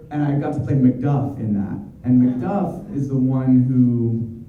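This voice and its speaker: A man's voice: mid-range voice, mellow, a little hiss or hum. A man in his thirties answering fan questions at a convention panel in a chatty, rambling way with many fillers and restarts. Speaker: voice actor at convention Q&A. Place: convention hall panel room. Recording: echoey hall from far off, muffled.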